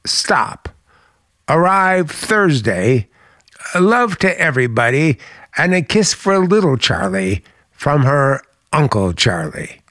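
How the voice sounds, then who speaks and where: A man acting in a radio play as an evasive, outwardly polite man on the run with secret menace, speaking in a halting, dryly polite way. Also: a little high, big pitch swings, a bit bright, no echo, clean studio sound, full character voice. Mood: hesitant, courteous.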